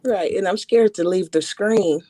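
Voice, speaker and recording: A woman's voice: medium pitch, moderate pace, mellow, dry recording. A woman speaks in short, casual replies with a polite and agreeable manner. Her voice sounds close and dry, as an auditioning performer chatting on a live stream.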